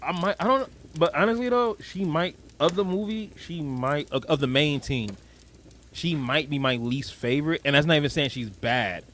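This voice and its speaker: A man's voice: fairly high pitch, normal speed, fairly bright tone, a little hiss or hum. A young man co-hosting a podcast, chatting casually and animatedly about a movie, laughing often and swearing. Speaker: podcast co-host.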